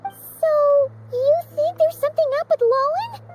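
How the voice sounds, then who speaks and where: A woman voicing a tiny childlike fairy companion in a video game, squeaky, blunt and excitable. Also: a little slow, loud music behind the voice. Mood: worried, indignant.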